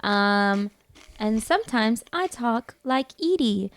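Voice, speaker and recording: A woman's voice: a little high, animated, takes it slowly, slight background noise. A woman player chatting and joking casually during a tabletop game, giggly and playful.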